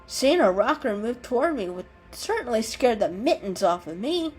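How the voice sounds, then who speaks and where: Woman as an earnest, high-voiced little cat. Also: very animated, normal speed, soft background music. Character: timid but loyal, candid little house cat. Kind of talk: dramatic children's story reading.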